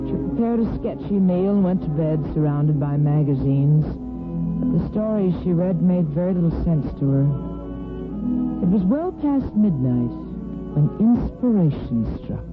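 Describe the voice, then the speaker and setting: Expressive woman narrator of an old radio soap. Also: low voice. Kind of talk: scripted dramatic storytelling, 1950s radio soap. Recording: tinny old radio broadcast with music.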